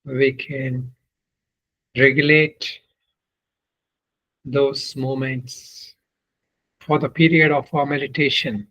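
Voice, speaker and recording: Male meditation guide. Very slow, measured delivery with little melodic movement and long pauses. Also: mid-range voice, level tone, pushed and bright, rough recording. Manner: deliberate, measured, with long pauses between short phrases. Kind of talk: guided meditation, spoken instruction.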